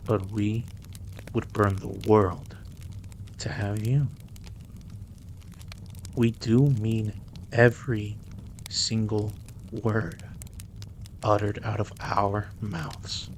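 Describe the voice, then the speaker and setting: Man playing a low-voiced, cocky, flirtatious mafia boss. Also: little pitch movement, extremely slow, long pauses, a little hiss or hum. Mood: seductive, persuasive. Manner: smooth-talking, teasing, possessive, self-assured. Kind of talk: intimate romantic audio roleplay acting.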